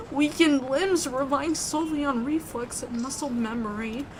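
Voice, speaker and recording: A woman narrating a horror visual novel in the first person, reading with expressive, suspenseful delivery. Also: sits high, noisy background.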